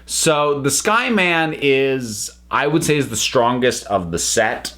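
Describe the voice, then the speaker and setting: A man's voice: medium pitch, expressive, takes it slowly, sharp tone. A man giving a casual spoken review to viewers, thoughtful and emphatic, often breaking off to rephrase.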